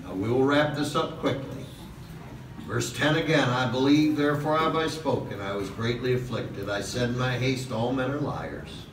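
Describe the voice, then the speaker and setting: A middle-aged man preaching a sermon from a podium in a large church hall, passionate, with "okay?" asides and slow emphatic lines. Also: mid-range voice. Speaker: pastor. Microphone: far camera in the hall. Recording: echoey hall recorded from a distance with noise.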